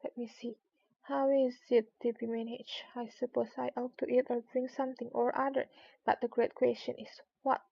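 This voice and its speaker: A polite, earnest storybook girl, voiced by a woman reading aloud, musing to herself. The voice is fairly high with a creaky edge, on a slightly dull recording. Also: level tone, moderate pace, darkish tone. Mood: puzzled.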